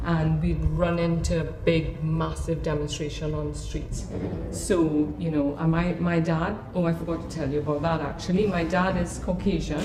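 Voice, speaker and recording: A woman in her fifties with a Trinidadian accent, giving an informal, conversational artist talk about her own memories. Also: deep voice. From Trinidad and Tobago. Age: 50s. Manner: conversational, often checking in with "you know". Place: gallery talk in a room. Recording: echoey room, noticeable background noise.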